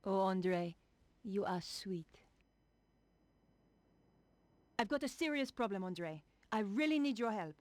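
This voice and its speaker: A woman's voice: mid-range voice, lively intonation, moderate pace, darkish tone, dry recording, close to an everyday voice. An actress playing a determined young woman journalist in a video game. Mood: worried. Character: young female investigative journalist, earnest and determined. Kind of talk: video game voice acting, narration and dialogue.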